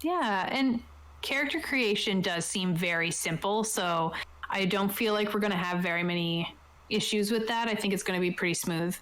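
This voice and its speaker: A woman's voice: mid-range voice, normal speed, fairly bright tone. Casual, upbeat woman hosting a game stream. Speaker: host and game master of a tabletop roleplaying stream. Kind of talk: casual chat, explaining game rules. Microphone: close desktop mic on an online voice chat.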